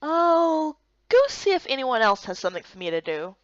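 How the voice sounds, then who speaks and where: A woman voicing a spirited, incredulous young guard recruit in a visual novel game, expressive and questioning. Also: sits high, normal speed, no echo, dull audio. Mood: indignant.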